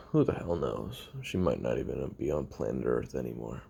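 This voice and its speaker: Male actor as young ex-assassin, extremely low voice, heavy fry, weary, lonely, halting tape-diary confession, audio drama. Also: lively intonation, mellow, heavily acted. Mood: melancholy, resigned. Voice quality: gravelly, husky. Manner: halting, brooding, trailing off with sighs and pauses.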